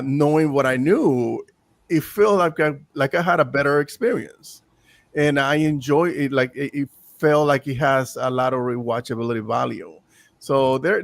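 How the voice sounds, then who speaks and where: A man's voice: mid-range voice, vocal fry. A man in his early fifties, a guest on a live review, talking in a rambling, self-correcting way. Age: 50s. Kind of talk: casual chat.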